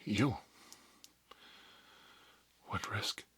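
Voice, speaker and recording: A man playing a stern, pompous school principal in a whispered ASMR role play, scolding pupils in halting, drawn-out phrases. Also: a bit bright. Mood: stern, accusing. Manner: pompous, halting, drawn-out, repeats words for emphasis.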